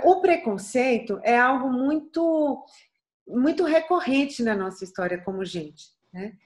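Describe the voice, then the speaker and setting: A middle-aged Brazilian singer from Bahia with a light Bahian accent and a soft voice, speaking earnestly and reflectively in an interview, with frequent restarts. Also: female voice, mid-range voice. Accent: Bahian Portuguese. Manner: thoughtful, earnest, frequent restarts and tag questions.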